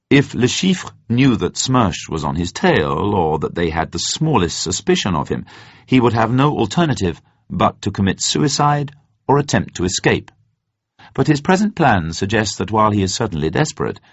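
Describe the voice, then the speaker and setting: A low-voiced man narrates scripted drama with measured, formal phrasing. His pitch swings expressively from phrase to phrase. The audio is dull and slightly muffled. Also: slightly rough, dry recording.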